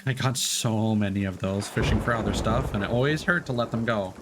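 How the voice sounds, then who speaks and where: A man's voice: fairly low pitch, fairly quick, very noisy. A man casually commenting on his gameplay as a streamer, chatting through tips with many fillers.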